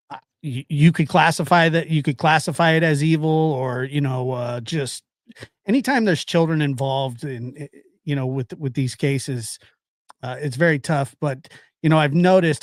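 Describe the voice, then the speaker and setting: A man around forty hosting a casual live-stream chat, halting and circling back over his phrases. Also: a little high, dry recording, clean studio sound. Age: late 30s to early 40s.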